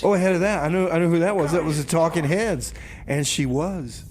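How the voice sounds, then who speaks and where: A man's voice: a little high, a little fast, crowd noise. A man hosting a music radio show in an informal, conversational way as its DJ. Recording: clear background noise.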